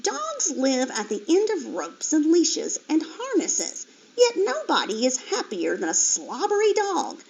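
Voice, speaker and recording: A woman's voice: high-pitched, huge pitch swings, a little slow, creaky, darkish tone, a little hiss or hum, pitched above the performer's own voice, full character voice. A woman voicing a chatty, worldly female farm animal in a storybook reading. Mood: knowing. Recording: dull lo-fi recording.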